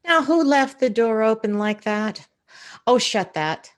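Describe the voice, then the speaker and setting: An actress playing a sensible, mildly scolding housewife and hostess in an old-time radio comedy. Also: female voice, fairly high pitch, lively intonation, measured pace. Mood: exasperated.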